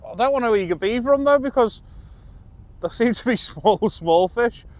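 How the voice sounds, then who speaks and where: A man's voice: extremely high pitch, animated, moderate pace, no echo. A middle-aged British man in casual running commentary as he fishes from a dock at night, chatty and self-amused, recorded by a camera some distance away. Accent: British English. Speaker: angler vlogger. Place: dock by the sea at night, outdoors. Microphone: camera on tripod, at a distance.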